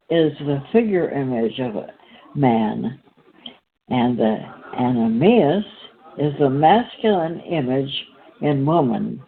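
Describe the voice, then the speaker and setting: A host reading aloud in a halting, deliberate manner, pausing between short phrases. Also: medium pitch, a little hiss or hum. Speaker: host and reader. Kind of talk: slow read-aloud and discussion. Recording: phone-like, narrow-band call audio.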